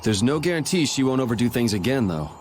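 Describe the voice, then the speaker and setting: A man's voice: mid-range voice, very animated, fast, slight background noise, lightly acted. A man voicing a cool, casual, teasing young swordsman hero in a video game. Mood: level.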